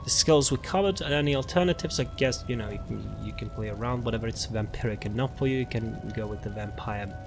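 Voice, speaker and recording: A man's voice: medium pitch, brisk, gravelly, few pauses. A man giving casual, off-the-cuff video game commentary as he presents a build guide, rambling and repeating his phrases. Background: music.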